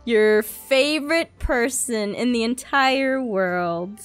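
A woman hosting a playful Minecraft roleplay in character, with an excitable, giggly manner. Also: fairly high pitch, takes it slowly, soft background music. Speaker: host of a Minecraft roleplay series.

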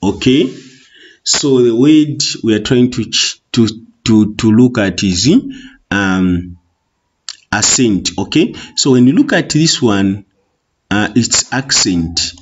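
Zambian male teacher with a Tonga-influenced accent, lowish husky voice over screen recording, repetitive patient delivery. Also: lively intonation. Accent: Zambian, Tonga-influenced English. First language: Bemba. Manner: explains slowly, repeats with many okays. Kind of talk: online exam walkthrough lesson. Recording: muffled lo-fi recording.

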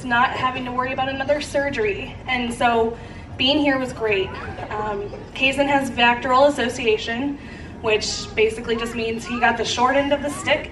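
Mother telling her story on stage, earnest and emotional, somewhat high voice, echoey PA from far back, background noise. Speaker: guest parent sharing her story. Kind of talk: heartfelt speech to a crowd. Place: outdoor night event stage. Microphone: handheld mic through speakers, filmed from audience. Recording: echoey PA sound from far off, noisy.

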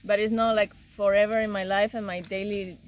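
A woman's voice: medium pitch, little pitch movement, fairly quick, lo-fi sound, slight background noise. A young woman from Argentina chatting casually outdoors in a rural yard, often repeating words as she searches for them. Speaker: visiting guest.

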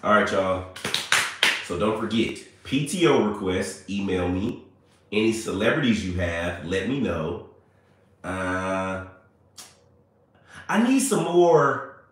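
Host type: animated man, a bit congested, low and lively pitch, chatting into a phone in a very echoey room. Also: slow, pauses often. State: a little hoarse and congested. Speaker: livestream host. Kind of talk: casual livestream chat with viewers. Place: small bright room indoors. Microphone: phone at arm's length.

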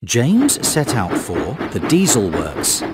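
A man narrating a children's game in a scripted, encouraging, clearly enunciated storytelling manner. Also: mid-range voice, huge pitch swings, takes it slowly, very noisy. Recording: music mixed under the voice. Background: music.

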